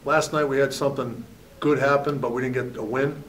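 A man's voice: medium pitch, steady tone, fairly quick, recorded from a distance. A man in his forties, a head hockey coach, answering questions candidly at a postgame press conference and often saying "you know". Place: press room at a backdrop. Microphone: table mic, at a distance.

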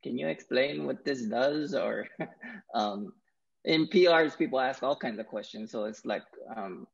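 A man in his thirties talks casually and haltingly, filling pauses with ums. His voice is husky with a gravelly fry. The sound is dull and lo-fi, as heard over a video call. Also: mid-range voice, lively intonation. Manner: hesitant, many ums. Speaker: meetup organizer. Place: living room at home. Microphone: earbuds on video call.

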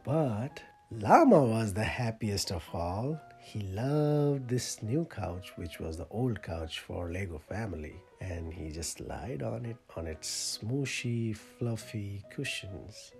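A storyteller reading a picture book aloud to children in an expressive, playful way with dramatic emphasis. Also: medium pitch, slow pace, hardly any pauses, faint music behind. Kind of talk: animated picture-book read-aloud for kids.

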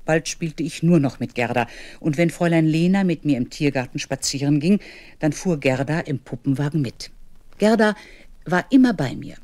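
A woman's voice: low-pitched, expressive, normal speed, a little mellow, no echo. A reflective grandmother looks back on her childhood as narrator of a radio play. The recording is close and dry, with hardly any room around her. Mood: reminiscing. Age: older.

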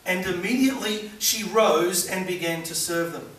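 High-voiced pastor preaching in an echoey hall. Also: male voice, moderate pace, a bit bright. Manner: earnest preaching with rising emphasis. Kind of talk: sermon. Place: small church hall with congregation. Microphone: far camera at back of room. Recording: echoey room recorded from far off with faint hiss.

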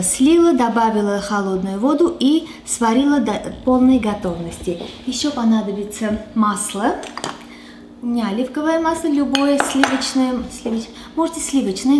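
A woman's voice: a little high, a little mellow. A woman, chatting casually as she cooks in a large home kitchen and sometimes searching for words. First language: Armenian. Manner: chatty, searches for Russian words, slips into English. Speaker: cooking show host. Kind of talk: casual cooking demonstration. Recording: echoey kitchen with background music.

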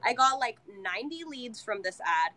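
A woman's voice: a little high, animated, moderate pace, sharp tone. A young woman from California, a salon owner, chatting casually in an interview over a video call, often acting out what she and her clients say. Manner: chatty, quotes herself and clients. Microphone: webcam on video call.

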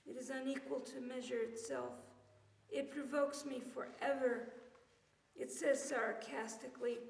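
Female poet, high voice, slow declamatory delivery, live reading in an echoey hall. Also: gravelly. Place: church meeting room with tiled floor, indoors. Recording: roomy, reverberant hall sound.

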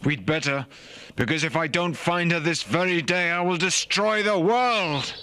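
A man's voice: on the high side, moderate pace, sharp tone, clear, resonant tone. A man voicing a brave young prince in a puppet drama, firm and declamatory. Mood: resolute. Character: noble young prince Ram, brave and commanding.

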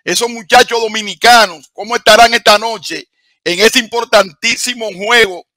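Older man hosting with bright, emphatic delivery. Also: high-pitched, steady tone, normal speed, no room sound. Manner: emphatic, repeats words for effect. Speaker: studio host. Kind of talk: television sports show hosting. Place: studio with home-style set, indoors. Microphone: headset.

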